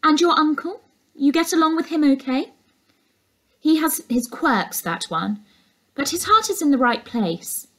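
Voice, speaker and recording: Nosy, sugary housekeeper in a high voice, put on by a woman. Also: animated, measured pace, a bit bright, heavily acted, rough recording. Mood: purring, probing. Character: nosy, falsely sweet middle-aged housekeeper prying for gossip.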